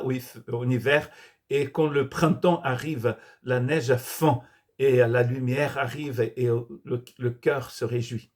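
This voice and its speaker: Brazilian-born pastor guy preaching, earnest, stumbles and restarts a lot, mid-pitched voice. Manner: earnest preaching with frequent restarts and repeated words. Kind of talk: sermon. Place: church.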